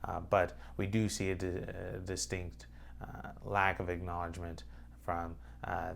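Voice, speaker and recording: A young man giving a hesitant voice-over introduction to an interview with his father, filling pauses with many "uh"s. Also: low-pitched, vocal fry, slight background noise. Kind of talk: recorded voice-over explaining context.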